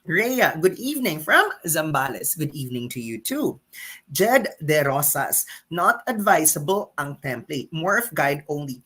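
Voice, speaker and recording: A woman hosts a live exam-prep session, taking questions from viewers. Her voice is husky and sits a little low. She talks with lively, swooping emphasis, like a teacher pressing her points home. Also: a little slow. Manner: animated, emphatic teacherly delivery. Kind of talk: live stream Q&A reading viewer comments.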